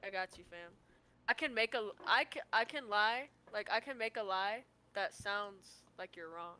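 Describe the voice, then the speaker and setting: A woman's voice: medium pitch, moderate pace, sharp tone. A young American woman telling stories in casual chat, with a slangy, sweary, rambling manner. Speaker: livestreamer.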